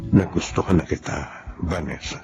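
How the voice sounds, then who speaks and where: A man playing a flirtatious, smooth-talking rich married businessman in a Filipino radio drama. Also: rumbling low, moderate pace, highs cut off. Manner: flirtatious, suave. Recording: music playing clearly behind the voice.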